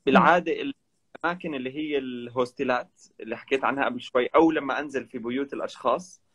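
Fast-talking man guest. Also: mid-range voice, fairly bright tone, slightly rough. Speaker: travel vlogger guest. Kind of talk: casual live chat. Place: indoor room. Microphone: video call, webcam.

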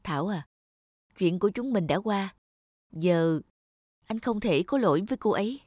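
A hesitant young ex-boyfriend. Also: male voice, high voice, expressive, moderate pace, darkish tone, no echo, clean studio sound. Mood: firm, reproving. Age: young adult. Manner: hesitant, apologetic. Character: awkward, apologetic young man, firm with his ex. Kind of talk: animated moral drama dubbing.

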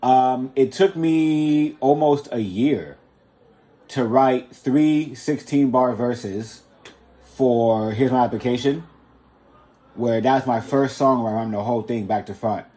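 Casual slangy man with a mid-pitched voice. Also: slow pace, warm tone. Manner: informal, slangy, anecdotal. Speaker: rapper and podcast guest. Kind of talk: casual chat.

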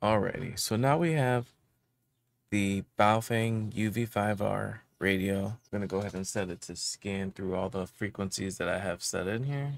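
A man's voice: lowish voice, moderate pace, creaky. A man in his thirties giving a casual how-to explanation as host of a tech tutorial. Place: small indoor room with electronics gear.

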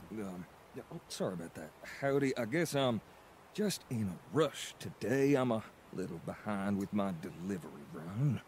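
A man's voice: on the low side, sing-song, a little slow, background noise. An actor playing a clumsy, cheerful adult mailman who talks in a folksy drawl and stammers when he gets flustered. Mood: apologetic. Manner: flustered, stammers under pressure. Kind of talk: cartoon voice acting.

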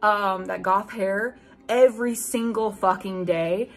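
A young adult woman reading fan fiction aloud with animated, sarcastic casual commentary and free swearing. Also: medium pitch, slow pace. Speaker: book video host. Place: small room at home with bookshelves.

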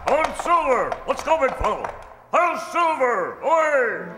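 A man's voice: extremely high pitch, big pitch swings, unhurried, exaggerated voice. A man playing a bold masked cowboy hero calling out to his horse in a radio western. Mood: exultant, shouting. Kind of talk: old-time radio western acting. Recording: echoey hall with music behind.

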